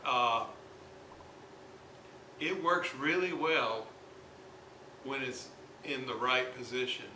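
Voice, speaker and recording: A man presents a hands-on repair in an informal, halting way, thinking aloud as he goes. His delivery is slow, with long gaps between phrases. The recording is amateur, with clear room echo and plenty of noise behind the voice. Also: medium pitch. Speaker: hobbyist radio restorer presenting his repair. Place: indoors at a repair workbench. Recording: roomy, noisy amateur workshop recording.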